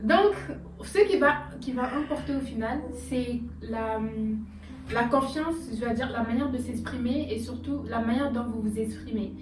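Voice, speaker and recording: A woman's voice: on the high side, moderate pace, distant mic. A woman whose first language is Bambara, chatting casually and encouraging viewers, with many hesitant fillers. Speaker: guest content creator. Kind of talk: casual chat. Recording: echoey room, off-mic, music behind.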